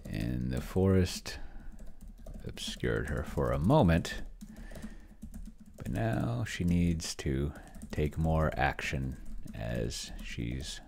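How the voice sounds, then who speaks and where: A man's voice: extremely low pitch, expressive, extremely slow, vocal fry, a little mellow. A middle-aged man thinking aloud in casual commentary as he plays a solo game live, muttering to himself while typing at his computer. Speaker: solo roleplaying player and show host. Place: indoor room at a computer. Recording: keyboard typing and clicking noise.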